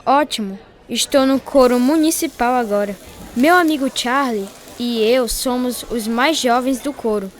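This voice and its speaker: A woman's voice: a little high, measured pace, natural voice. A woman playing an earnest boy, a dutiful son, in a radio drama. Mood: reassuring.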